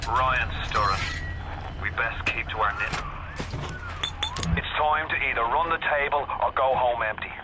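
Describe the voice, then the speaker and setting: An actor playing a streetwise, gruff Irish resistance leader in a video game, guiding over a radio with colloquial, conspiratorial talk. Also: male voice, mid-range voice, normal speed, pauses often, very noisy. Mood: grim, determined. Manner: colloquial, folksy slang with dry cursing.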